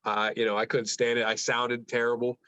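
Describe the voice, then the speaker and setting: A man's voice: medium pitch, level tone, brisk, fairly bright tone, smooth, muffled recording. A man with a stuffed-up, congested nose from allergies, co-hosting a casual podcast with blunt, joking hot takes. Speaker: podcast co-host. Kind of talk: casual banter.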